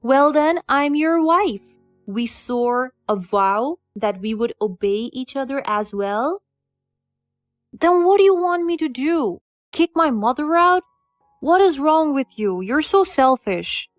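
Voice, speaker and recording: A woman's voice: mid-range voice, a little slow, dry recording, studio quality, soft background music, lightly acted. A woman voicing a timid young husband who is a mama's boy, hesitant and defensive.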